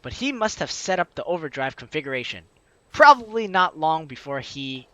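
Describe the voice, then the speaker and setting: A man's voice: a little high, big pitch swings, moderate pace, bright, forceful tone, no echo. A man reading a story game aloud as a let's player, with dramatic narration and casual asides. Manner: dramatic reading, slipping into offhand comments.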